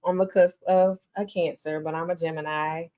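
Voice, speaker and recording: A woman's voice: deep voice, normal speed, highs cut off. A woman, chatting casually and animatedly as a podcast host on a livestream. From North Carolina, US. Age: 30s. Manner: chatty, animated, addresses listeners as y'all.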